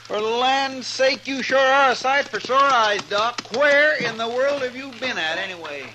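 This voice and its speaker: An actor playing a loyal, fretful sidekick in an old-time radio western, with an earnest, folksy country drawl. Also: male voice, very high voice, normal speed. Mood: eager. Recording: vintage radio recording with background hiss.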